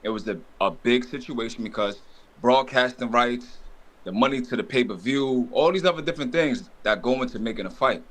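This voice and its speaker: A man in a loose podcast debate talks in an animated, chatty way, cutting in with quick agreement and pushback. His voice sits at a plain middle pitch. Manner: animated, cuts in with "exactly" and "bro". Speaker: podcast co-host. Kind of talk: casual debate.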